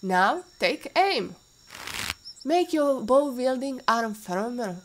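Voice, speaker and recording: An actress playing a patient, caring warrior mentor with a Russian accent and formal, instructive phrasing, in an audio drama. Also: female voice, a little high, very animated, takes it slowly. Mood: stern, focused. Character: patient female warrior mentor, former Interpol agent, caring teacher.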